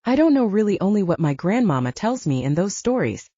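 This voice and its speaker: A candid, self-mocking young female werewolf soldier, acted out by a woman audiobook reader. Her chatty delivery swings widely in pitch, with a mellow tone on a slightly muffled, dry recording. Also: big pitch swings, brisk, dry recording. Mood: candid, wry. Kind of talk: audiobook character dialogue. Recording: dull, lo-fi sound.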